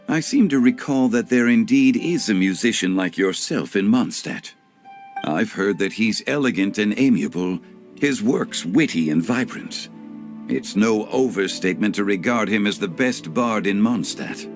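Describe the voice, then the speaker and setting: A man voicing a refined, courteous consultant in a game, measured, formal and composed. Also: lowish voice, lively intonation. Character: refined male funeral consultant, calm and courteous. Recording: game cutscene with soft music behind.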